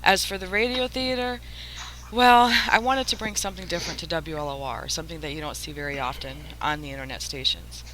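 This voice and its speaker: A woman's voice: low-pitched, fairly quick, fairly bright tone. A woman who runs a radio station, speaking casually and effusively on a live call. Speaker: internet radio station owner. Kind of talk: casual interview talk. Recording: faintly noisy live call.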